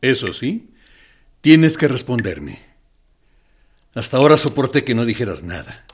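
A man's voice: mid-range voice, very animated, measured pace, slightly rough. An actor playing a suave, menacing military interrogator in a radio drama, theatrical, falsely kind and persuasive as he coaxes and threatens. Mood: insistent.

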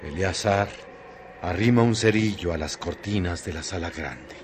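A man narrating a literary radio drama in solemn, measured phrases. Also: low-pitched, lightly acted. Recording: noisy track with ambient effects.

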